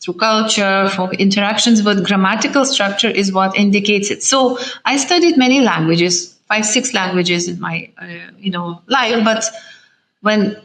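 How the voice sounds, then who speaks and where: A middle-aged woman with a Lithuanian accent, English being her second language. Her voice sits at an ordinary mid pitch. She explains things carefully and at length, with many "uh"s, small restarts and a thoughtful, measured delivery. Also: a bit bright. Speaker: ambassador. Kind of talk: thoughtful interview answer.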